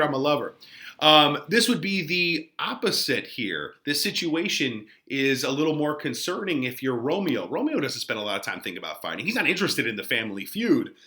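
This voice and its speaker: Bright, forceful man teaching literature. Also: medium pitch. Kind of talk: informal explanatory lesson commentary.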